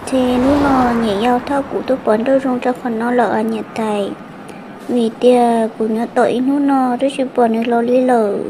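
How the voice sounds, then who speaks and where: A woman acts out a high-voiced female character in a cartoon family drama. The dramatic dialogue comes with clear background noise. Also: steady tone, normal speed, close to an everyday voice. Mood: conversational.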